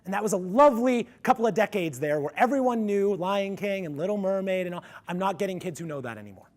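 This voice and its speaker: A man presenting a music education workshop to a live audience, with a ringy, resonant voice and an energetic coaching manner. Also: high-pitched, quick pace. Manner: energetic, coaching the audience through vocal exercises. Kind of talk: conference workshop talk. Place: conference room with a live audience.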